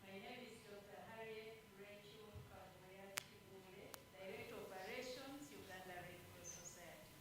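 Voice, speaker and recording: A woman's voice: mid-range voice, normal speed, pauses often, a little hiss or hum. A woman chairing a meeting, giving a formal welcome into a shared microphone in a conference room. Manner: warm formal greeting.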